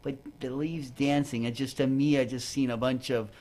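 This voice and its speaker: A man's voice: medium pitch, fairly even intonation, normal speed, a little mellow. A man hosting a radio talk show, a poet, chatting in a jokey, rambling way with many false starts. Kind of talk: casual radio interview.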